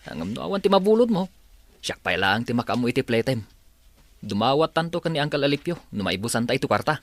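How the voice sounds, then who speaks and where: An actor playing an earnest, hardworking young farm worker in a radio drama. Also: male voice, mid-range voice, sing-song, fast talker, no echo. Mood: reassuring.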